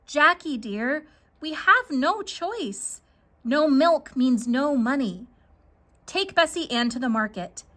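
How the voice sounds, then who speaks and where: A woman performs a worried, exasperated storybook mother. Her voice is high and sharp, stern and emphatic, with big swings in pitch. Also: lively intonation, slow pace, exaggerated voice. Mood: sad, resigned.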